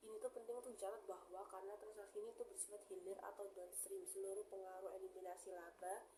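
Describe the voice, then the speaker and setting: A young woman reading out a class presentation haltingly, stumbling over English terms. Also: medium pitch, level tone, mellow. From Indonesia. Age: young adult. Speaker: student presenter. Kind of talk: class presentation, reading accounting slides aloud. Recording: roomy echo, faint background noise.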